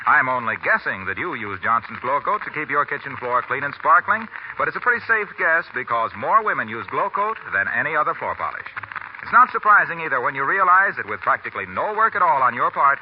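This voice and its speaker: A man working as a radio announcer, reading a scripted 1940s commercial with polished, friendly salesmanship. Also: medium pitch. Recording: noisy, muffled 1940s radio recording.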